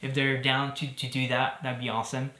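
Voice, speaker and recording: A man's voice: medium pitch, completely flat delivery, a little fast, pushed and bright, echoey room. A man from Belgium in his thirties, hosting a casual talk to camera in an upbeat, chatty way. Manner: upbeat and chatty, with fillers and jokes. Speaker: host.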